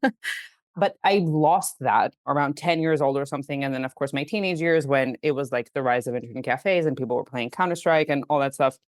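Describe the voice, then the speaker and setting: A woman's voice: extremely low pitch, a little fast, no echo. A woman in her thirties from Greece, a game studio head talking casually on a podcast, chatty and laughing easily. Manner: chatty, laughs easily, many "like"s and "you know"s. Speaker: game studio CEO, guest.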